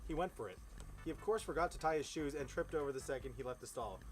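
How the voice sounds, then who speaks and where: A man's voice: on the high side, expressive, fast talker, rough recording. A man casually reading a story aloud outdoors, stumbling over words and breaking off into informal, profane asides. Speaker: host reading fan fiction aloud. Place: outdoors beside a fire.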